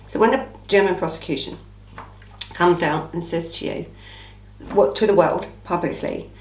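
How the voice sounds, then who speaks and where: A woman from England hosting a casual true crime Q&A, her voice croaky from a cold, talking in a blunt, chatty, opinionated way. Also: fairly low pitch, roomy sound, distant mic, rough recording. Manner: chatty, blunt, opinionated, says "listen" often. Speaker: true crime channel host. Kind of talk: casual Q&A monologue.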